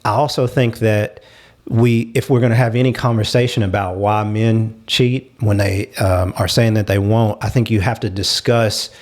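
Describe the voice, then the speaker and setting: A man's voice: on the low side, fairly even intonation, normal speed, a little mellow. A man in a casual panel discussion, talking in an explanatory, reasoned manner.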